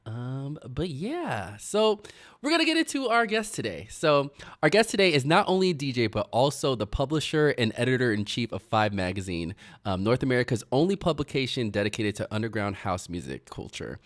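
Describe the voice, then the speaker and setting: A man's voice: mid-range voice, big pitch swings, a little fast. A young man hosting a casual radio interview in a studio, upbeat and laughing often, with many "um" and "like" fillers. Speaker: radio show host and DJ.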